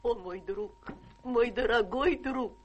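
A woman's voice: on the high side, slow pace, husky, muffled recording. An actress in a Russian radio play playing a devoted, fussy middle-aged lady companion, plaintive and fawning. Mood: fawning, anxious. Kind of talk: Russian theatrical radio drama acting.

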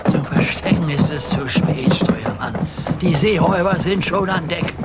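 A man's voice: on the high side, moderate pace, loud music behind the voice, natural voice. An old man as a cautious, good-natured grandpa captain. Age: older. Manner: calm, gently teasing, cautious. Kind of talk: children's radio play acting.

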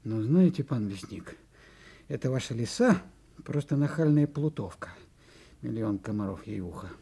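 An excitable, blustering butcher in a storybook audio play. His voice is mellow and husky, and he speaks in a fussy, emphatic, courteous townsman's way. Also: mid-range voice, normal speed, exaggerated voice. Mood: indignant. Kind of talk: children's audio play acting.

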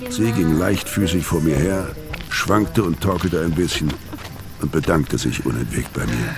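A man's voice: a bass voice, measured pace. An actor playing a weary, hard-bitten hitman in a radio crime drama, terse and matter-of-fact as narrator and in dialogue. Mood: reflective. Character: weary, hard-bitten male hitman recounting his brutal past. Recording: music behind the voice.

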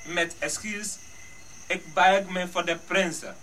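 An actor playing a deferential servant who bows to princes in a radio drama. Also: male voice, high-pitched, steady tone, slow, bright, forceful tone, a little hiss or hum, exaggerated voice. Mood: respectful. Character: deferential servant bowing before young princes.

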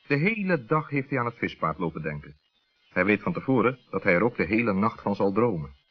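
A man with a husky voice and a Netherlands Dutch accent, narrating a scripted children's story. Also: fairly low pitch, sing-song, a little fast. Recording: muffled vintage radio recording.

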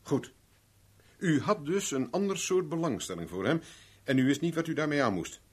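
A private detective in an old 70s radio play, pushy and dry, kinda husky, really up and down. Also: male voice, fairly high pitch, animated, moderate pace. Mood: pressing, insistent. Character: probing, self-assured male private investigator. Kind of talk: 1970s Dutch radio drama acting.

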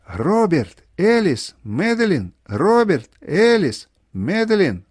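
Мужчина озвучивает перепуганную лежачую старую мать, которая хрипло и настойчиво зовёт на помощь в аудиорассказе. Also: male voice, high-pitched, animated, brisk, darkish tone, no echo, clean studio sound, voice pushed up. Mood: frantic calling. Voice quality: hoarse. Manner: wailing, repetitive calls of alarm. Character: panicked bedridden old mother crying for help.

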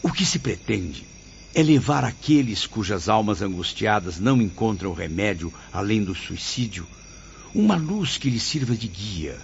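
Deep, solemn old writer's spirit. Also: male voice, animated, measured pace, gravelly, muffled recording, a little hiss or hum. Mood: courteous, formal. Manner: solemn, formal, literary diction. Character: spirit of a cultured, sorrowful 65-year-old male Portuguese writer. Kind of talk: dramatic radio play monologue.

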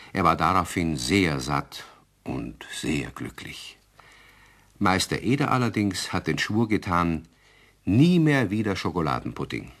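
A man narrating a children's radio play as a genial, expressive storyteller. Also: deep voice, heavily acted.

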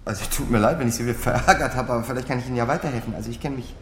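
A man's voice: medium pitch, rapid-fire, fairly bright tone, roomy sound, lightly acted. Man acting glib berlin small-time crook in a radio drama, wordy, stammery, bit of background noise. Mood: ingratiating. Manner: stammering, wordy, smooth-talking.

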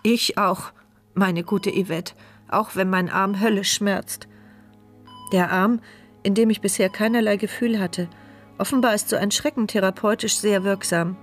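An actress as a wounded woman in a radio thriller, telling the story from inside her head. She is sardonic and watchful, with a medium-pitched voice over faint music. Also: fairly even intonation, measured pace, natural voice. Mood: wry. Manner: inward, sardonic monologue. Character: injured, mute woman narrating her thoughts, wry and wary. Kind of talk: first-person radio crime drama narration.

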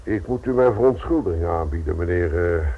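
An actor in a radio play voicing a brisk, guarded security firm manager, courteous but firm, with a husky voice. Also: male voice, low-pitched, expressive. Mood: businesslike. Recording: tinny, narrow-band old radio recording.

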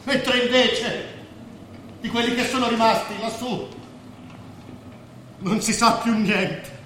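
An actor playing a cautious, sly, nostalgic refugee lawyer, speaking in measured theatrical oratory in a stage drama. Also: male voice, very high voice, fairly even intonation, bright, forceful tone, exaggerated voice. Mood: mournful, nostalgic. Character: displaced lawyer from Pola, nostalgic, cautious, slyly calculating. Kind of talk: staged radio drama acting. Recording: roomy echoing stage, faint noise.

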